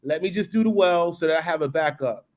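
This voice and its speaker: A man's voice: fairly high pitch, a little fast. A man in his forties from New York, talking casually on a live video call. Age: 40s. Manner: relaxed and conversational. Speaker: guest. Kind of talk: casual live-stream chat.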